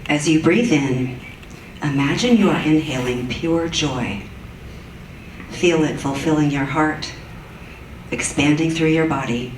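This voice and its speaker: A woman leading a guided meditation in a soft, gentle, soothing way. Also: sits low, a little slow, pauses often, a little hiss or hum. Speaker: meditation leader. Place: church sanctuary. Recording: echoey church room from far off.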